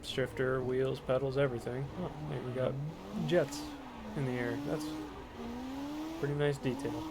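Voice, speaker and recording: A gravelly-voiced man talks in a flat, rambling, self-deprecating way while he streams a game from home, keeping the volume down for the house. His cheap microphone picks up plenty of hiss, with engine sounds from the game running under his voice. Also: mid-range voice, normal speed. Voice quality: monotone. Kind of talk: casual gameplay commentary. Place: home, kept quiet for others in the house.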